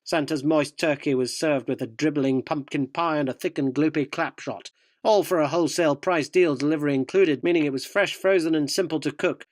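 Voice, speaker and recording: A cheeky, cynical yuppie journalist, played by a man in a radio drama. His voice sits on the high side and is recorded very dry, with a wry, provocative delivery in both narration and dialogue. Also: a little fast, no room sound, lightly acted. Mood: wry narrating.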